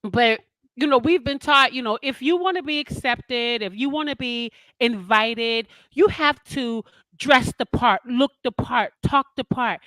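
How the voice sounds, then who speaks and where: A woman with a loud, commanding voice, chatting casually on a podcast. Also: a little high, measured pace, no room sound, low-quality recording.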